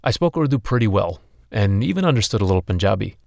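A man's voice: fairly low pitch, big pitch swings, fast talker, vocal fry, darkish tone, dry recording, clean studio sound. A young man who hosts and narrates a podcast, telling his own story openly and personally. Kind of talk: scripted narration and interviewing.

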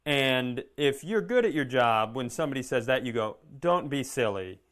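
A man's voice: mid-range voice, lively intonation, normal speed, a bit bright. A man co-hosting a comedy podcast, telling stories in casual chat with sarcasm, swearing and acted-out dialogue.

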